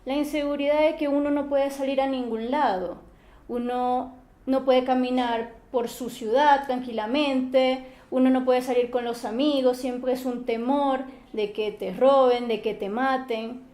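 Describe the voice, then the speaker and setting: A teenage woman from Maracaibo with a Venezuelan accent, speaking calmly and in a measured way as she is interviewed. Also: high voice, roomy sound.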